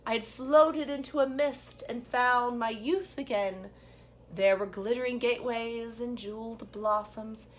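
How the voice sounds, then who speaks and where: A woman voicing a drowsy, dreamy old man who grumbles slowly. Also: a little high, close to an everyday voice.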